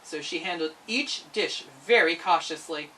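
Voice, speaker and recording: A woman's voice: on the low side, a little slow, pushed and bright, far from the mic, poor audio. A woman narrating a children's storybook expressively as a storyteller.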